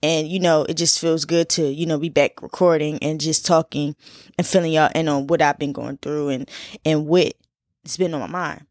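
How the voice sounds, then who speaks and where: A woman's voice: low voice, fairly even intonation, normal speed, a little mellow, dry recording, studio quality. A woman in her twenties from Philadelphia, hosting a casual solo podcast in a chatty, laid-back way with lots of fillers and repeats. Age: 20s. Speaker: podcast host.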